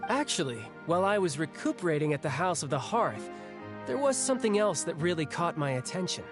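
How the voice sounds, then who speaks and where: Game hero, acted: young adventurer, expressive medium pitch, frank and casual, protective, music underneath. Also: fairly quick, lightly acted. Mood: friendly. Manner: casual, conversational, candid. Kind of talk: video game story dialogue.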